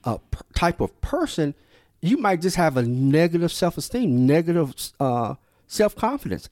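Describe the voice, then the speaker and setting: A man hosting a podcast in an animated, affirming way, reflecting on his own past. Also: fairly high pitch, moderate pace, no echo, studio quality. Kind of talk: podcast conversation.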